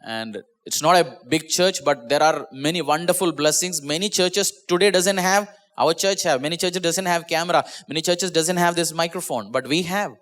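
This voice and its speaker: Indian english pastor guy preaching online, kinda high voice, repeats himself, voice drops at the end of lines. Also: normal speed, few pauses, a bit bright. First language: Malayalam. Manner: earnest, repeats and restarts words, drops pitch at phrase ends. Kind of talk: online sermon. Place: small church.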